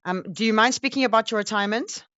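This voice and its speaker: A woman interviewing a guest in an empathetic way, asking warm, probing questions. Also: on the high side, quick pace, no echo, muffled recording.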